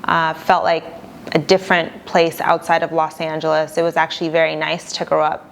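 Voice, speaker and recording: Low creaky-voiced woman attorney. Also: poor audio. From Argentina. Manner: calm, measured, plain-spoken. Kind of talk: interview answers.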